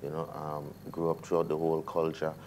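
A man's voice: rumbling low, brisk, slight background noise. A man in his thirties from the US Virgin Islands with a Jamaican-tinged Caribbean accent, chatting loosely in a TV studio interview with many fillers. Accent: Caribbean English, Jamaican-influenced. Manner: relaxed, hesitant, many fillers. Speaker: reggae artist guest. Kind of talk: casual interview chat. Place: TV studio set.

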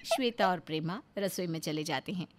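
A woman's voice: lowish voice, lively intonation, a little fast, noisy background. A woman narrator reading a scripted family story.

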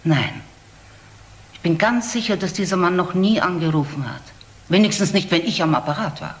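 A woman's voice: fairly low pitch, lively intonation, normal speed, lightly acted. Earnest woman receptionist, played by an actress, roomy sound. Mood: earnest, frank. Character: frank, earnest female doctor's receptionist. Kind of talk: German crime radio drama acting. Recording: roomy audio drama, faint noise.

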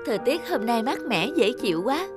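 A woman's voice: on the high side, sing-song, fairly quick, music in the background. A woman voicing a young, doting and anxious mother in a cartoon. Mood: tender. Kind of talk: cartoon voice acting.

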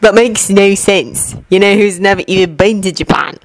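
A woman's voice: mid-range voice, moderate pace, no room sound, close to an everyday voice. A woman voicing a grumpy, suspicious teenage schoolboy wizard who grumbles doubtfully. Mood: grumbling.

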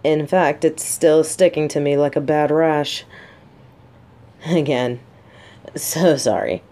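A woman's voice: low-pitched, takes it slowly, warm tone. A woman playing a guarded, sarcastic but secretly vulnerable lieutenant and bodyguard in an intimate audio roleplay. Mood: apologetic. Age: adult. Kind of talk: scripted roleplay audio drama, addressed to listener.

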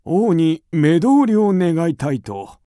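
An actor playing a dutiful, easily alarmed royal chancellor in a radio drama, speaking in a formal, deferential, theatrical way. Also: male voice, fairly high pitch, very animated, takes it slowly, warm tone, no echo, clean studio sound. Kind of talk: Japanese radio drama acting.

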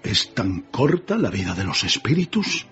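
An actor playing a frightened, repentant old miser in a radio drama, earnest and hesitant. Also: male voice, fairly low pitch, sing-song, moderate pace. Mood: uneasy. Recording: dull radio recording, music behind.

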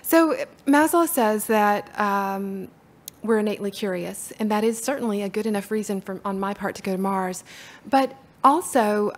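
A middle-aged woman from Appalachia with a soft-spoken Appalachian accent, giving a conference talk from a podium in a hall. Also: mid-range voice, moderate pace. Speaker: conference speaker. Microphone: podium mic from far camera.

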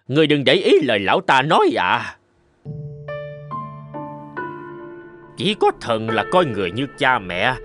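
A man voicing a flattering, sly court steward in a cartoon, speaking in a wheedling, obsequious way, with music behind. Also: medium pitch. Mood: fawning. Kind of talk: cartoon voice acting.

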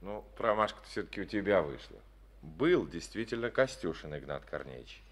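An actor playing a calm, confident male district party secretary, speaking in an easygoing, assured way, in an old Soviet radio play. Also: low-pitched, normal speed, heavily acted.

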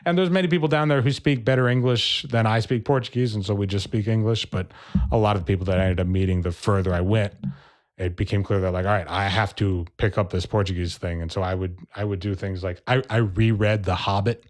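Low-voiced man telling a story. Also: quick pace. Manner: relaxed storyteller, acts out quoted people. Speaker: percussionist and educator, podcast guest. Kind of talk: casual interview storytelling.

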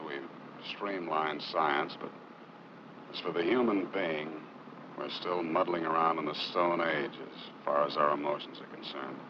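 An actor playing a thoughtful, disillusioned scientist in a 1950s film, with a wry, halting, reflective manner. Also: male voice, extremely low pitch. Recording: muffled, noisy old film soundtrack.

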